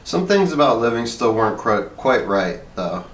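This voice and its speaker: A man reading a short story aloud as an expressive narrator. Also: medium pitch, normal speed. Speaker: story reader. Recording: echoey room, distant mic, faint noise.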